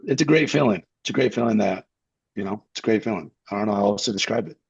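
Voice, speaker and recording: A man co-hosting a casual sports podcast, speaking with wry, deadpan sarcasm. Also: lowish voice, fast talker, vocal fry, slightly rough, no echo. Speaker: podcast co-host. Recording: dry, dull lo-fi mic.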